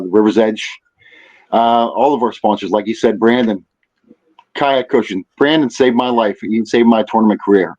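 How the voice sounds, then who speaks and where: A man speaking as a podcast guest in casual chat, haltingly, often repeating phrases as he thinks. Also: lowish voice, a little mellow, highs cut off. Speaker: podcast guest, fishing tournament organizer.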